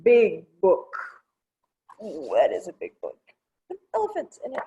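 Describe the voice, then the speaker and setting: A woman's voice: mid-range voice, huge pitch swings, a little slow, mellow, highs cut off. A woman presenting a children's storytime, reading aloud expressively and explaining things simply for young listeners.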